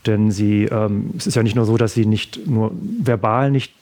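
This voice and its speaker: A man, bilingual with Italian as his first language, answering questions about his debut novel in a measured way, pausing often with fillers. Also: fairly low pitch, fairly even intonation, dark tone. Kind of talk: thoughtful interview answers.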